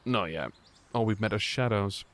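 A man's voice: fairly low pitch, moderate pace, a bit bright, natural voice. An actor playing an offhand, chummy henchman in a horror audio drama.

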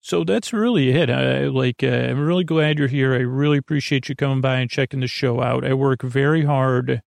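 A man hosting a bedtime podcast in a rambling monologue, with a gravelly voice and a bumbling way of circling around his point. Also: mid-range voice, moderate pace, dry recording, studio quality. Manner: meandering, bumbling, trailing off and circling back. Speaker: podcast host.

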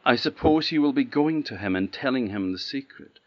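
A man's voice: a little high, very animated, a little slow, slightly rough, lo-fi sound, pitched above the performer's own voice, full character voice. A man voicing a haughty young princess in a told fairy tale.

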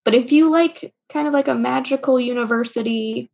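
A woman in casual podcast chat, explaining things informally and in halting pieces as a co-host. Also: high-pitched, vocal fry, darkish tone. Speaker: podcast co-host recommending books.

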